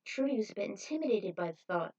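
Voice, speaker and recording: A woman's voice: medium pitch, expressive, quick pace, a little mellow, distant mic, lo-fi sound. A woman reading fan fiction as an amateur narrator.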